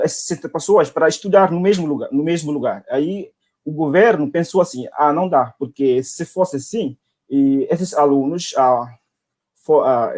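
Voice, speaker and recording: A young man with a Timorese accent and a fairly high voice talks casually as a guest. He is a non-native speaker who halts, searches for words and repeats short phrases as he explains. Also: darkish tone. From Timor-Leste. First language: not Portuguese. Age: young adult. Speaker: guest, international university student. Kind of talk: casual spoken account in a live interview.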